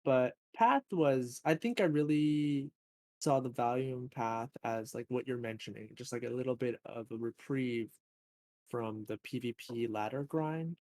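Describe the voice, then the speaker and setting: Male podcast host, mid pitch, dry close mic, easygoing chatty gamer delivery. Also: measured pace, dry recording. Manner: relaxed, filler-heavy, laid-back gamer talk. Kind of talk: casual chat.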